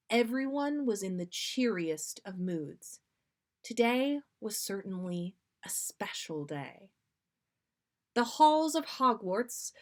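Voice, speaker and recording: A woman podcast host reading fan fiction aloud on a livestream in an amused, expressive storytelling way. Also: on the high side.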